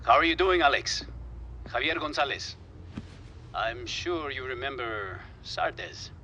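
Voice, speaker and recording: Bright, forceful persuasive football man. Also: mid-range voice, lively intonation, unhurried, slight background noise. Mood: confident pitching. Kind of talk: video game cutscene acting. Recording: faint music behind.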